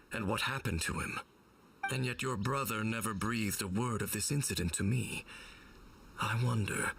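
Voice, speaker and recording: An actor playing a grave, secretive wanderer in a fantasy video game, speaking in a measured, solemn and formal way. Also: male voice, fairly low pitch, fairly bright tone, recorded from a distance, slight background noise. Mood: pensive. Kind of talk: dramatic video game acting.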